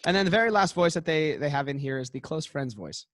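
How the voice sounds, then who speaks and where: A man co-hosting a casual chat podcast, talking quickly with lots of "like" and "you know what I mean.". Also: on the high side, lively intonation, a bit bright, no room sound, clean studio sound. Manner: talks fast, says he hurries to get through a point. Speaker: podcast co-host.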